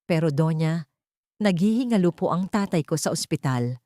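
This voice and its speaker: A woman's voice: low-pitched, expressive, normal speed, warm tone, dry recording, clean studio sound. A woman voicing a long-suffering, humble young woman who begs deferentially in a storybook drama. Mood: pleading. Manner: begging, deferential.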